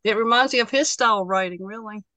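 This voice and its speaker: A woman's voice: on the high side, fast, bright, forceful tone, no echo. A woman talking casually as a member of a book club. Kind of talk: casual group chat.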